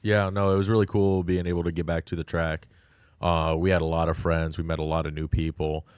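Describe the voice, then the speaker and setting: A man with a very low voice chats in a laid-back, joking way on a podcast. The sound is dry and close, with no room around it. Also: flat delivery, a little fast, a little mellow, smooth, no room sound. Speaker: podcast co-host. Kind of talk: casual chat.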